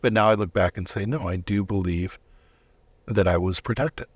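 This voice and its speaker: A middle-aged man giving a conversational personal testimony as an interview guest, reflective and halting, often rephrasing himself. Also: lowish voice, slightly rough, no echo.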